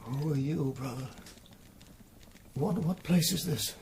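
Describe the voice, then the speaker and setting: A man playing a wounded, confused monk in a radio drama, faint, breathy, anxious and halting. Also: on the high side, a little mellow, full character voice. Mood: dazed, pained.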